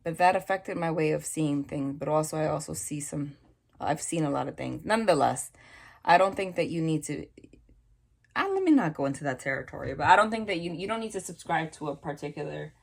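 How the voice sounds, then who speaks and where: A woman with a New York accent reads tarot cards at a table and talks in a loose, rambling way, restarting often. Her voice sits low, with a creaky, gravelly texture. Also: animated, brisk. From New York / New Jersey area. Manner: chatty, rambling, many restarts and asides. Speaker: tarot reader. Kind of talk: casual tarot reading chat. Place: indoors at a table, hands over cards.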